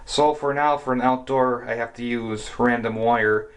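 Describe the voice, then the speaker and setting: A man's voice: mid-range voice, a little slow, smooth. A man giving a casual, hesitant, rambling show-and-tell, narrating as he films on a handheld camera. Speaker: hobbyist vlogger. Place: around a house, basement and outdoors. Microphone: handheld camera microphone. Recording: noisy camcorder audio, steady background noise.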